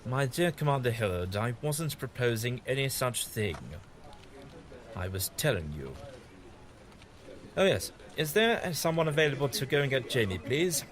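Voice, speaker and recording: A man's voice: medium pitch, sing-song, normal speed, natural voice. A man playing a whimsical, kindly, evasive time-travelling adventurer in an audio drama, with fussy and hesitant politeness. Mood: gentle, hesitant.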